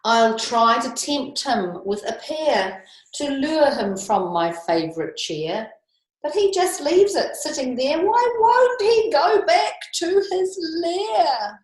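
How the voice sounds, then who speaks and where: A little grumpy mouse in a picture book , as a woman reader plays it. The complaint comes slowly in plaintive rhyme, with lively, fairly high pitch. It sounds recorded in an echoey room. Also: exaggerated voice. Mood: grudging. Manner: rhyming, plaintive complaint, drawn out. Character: small grumpy mouse whose chair is taken by bear.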